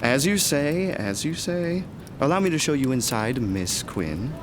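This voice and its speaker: A man's voice: medium pitch, lively intonation, unhurried, background noise, lightly acted. A man playing a friendly, cheeky young house servant in a gothic audio drama, chatty and teasing. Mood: cheerful. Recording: music playing behind the voice.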